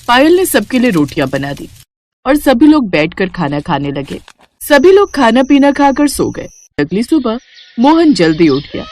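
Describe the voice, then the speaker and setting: A woman reads a scripted moral tale as narrator. Her pitch is in the middle range for a woman, in a storytelling delivery.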